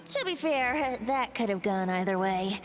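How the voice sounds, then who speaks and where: A woman's voice: mid-range voice, lively intonation, measured pace, lightly acted. A woman voicing a playful young male bard with a wry, teasing manner in a video game. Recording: game audio with long reverb and music.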